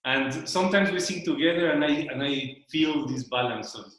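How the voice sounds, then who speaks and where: A man whose first language is Spanish, giving a casual spoken presentation in a loft, talking slowly and searching for words, often repeating himself. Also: on the high side, fairly bright tone. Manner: slow, searching, repeats words while thinking. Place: loft room with a small audience. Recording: very echoey room.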